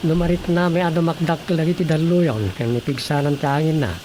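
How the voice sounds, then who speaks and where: An easygoing young fisherman, played by a man talks in a casual, deliberate way. It is radio drama acting with clear noise around the voice. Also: a little high, steady tone, a little mellow, lightly acted. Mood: practical. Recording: clearly noisy, ambient recording.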